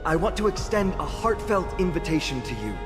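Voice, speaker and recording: A man's voice: high voice, animated, fairly quick. An actor playing an earnest young male hero of a fantasy game, speaking in a solemn, formal, heartfelt way. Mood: resolute. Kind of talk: video game voice acting. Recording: long reverb, music behind.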